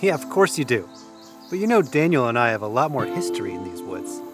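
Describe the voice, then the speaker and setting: A man's voice: mid-range voice, big pitch swings, a little fast, over music, lightly acted. A man playing a supportive, mild-mannered college student in his early twenties in an audio drama. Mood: matter-of-fact. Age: young adult.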